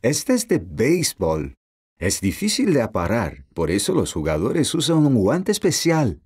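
A woman's voice: very deep voice, huge pitch swings, unhurried, darkish tone, husky, full character voice. A woman voicing a patient, encouraging young father in a children's cartoon dub, with a Latin American Spanish accent. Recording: music behind the voice.

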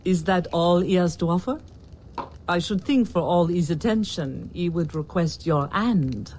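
An actress playing a stern, shrewd middle-aged colonial gentlewoman in a video game, formal, measured and commanding. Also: on the low side, animated, a little hiss or hum. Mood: dryly knowing. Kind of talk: video game cutscene acting. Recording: faint music behind the voice.

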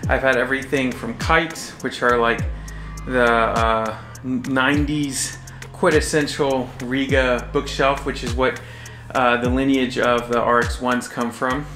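An American man in his forties from Texas giving a casual, halting but enthusiastic product overview to camera. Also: medium pitch, flat delivery. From Texas, United States. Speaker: audio shop presenter. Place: small indoor room, standing behind display table. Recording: roomy echo, faint music.

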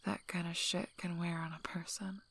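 A guarded female werewolf alpha, played by a woman in an ASMR role-play. She speaks softly in a lowish, husky half-whisper with heavy vocal fry. Her delivery is dry, unhurried and wryly protective. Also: little pitch movement, full character voice. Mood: low, softening.

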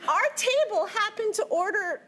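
A bubbly woman chats on a talk show panel, laughing often. Her voice is high and swings widely in pitch. A loud studio audience is clearly heard behind her. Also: expressive, moderate pace, a loud crowd. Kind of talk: casual chat. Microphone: lapel mic. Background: loud audience noise.

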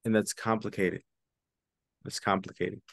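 A man teaching an online English lesson, switching between English and Spanish and repeating key words slowly for his students. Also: lowish voice, flat delivery, gravelly, a bit bright, no room sound. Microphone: video call webcam.

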